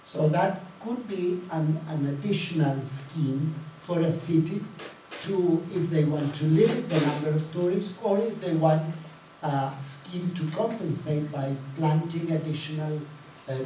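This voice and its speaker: A man's voice: a little high, unhurried, warm tone. A man from Chile speaking as a panelist, explaining his points with frequent fillers. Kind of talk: panel discussion answers. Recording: echoey hall, distant mic, faint noise.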